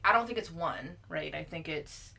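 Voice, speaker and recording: Bright-voiced woman chatting casually. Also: sits low, normal speed, vocal fry. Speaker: podcast co-host. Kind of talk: casual comedic chat.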